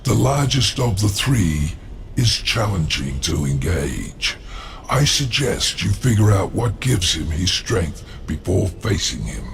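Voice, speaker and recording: Game villain: sly demon lord, very low and gravelly, formal, measured, calculating menace. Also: male voice, very animated, a little hiss or hum, full character voice. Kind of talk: dramatic video game cutscene acting.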